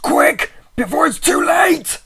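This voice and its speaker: A man's voice: very high voice, animated, slow, creaky, a crowd in the background, pitched above the performer's own voice, exaggerated voice. A man voicing a terrified, doomed man screaming frantic warnings in a horror story reading. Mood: frantic pleading. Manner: frantic, shrieking, pleading.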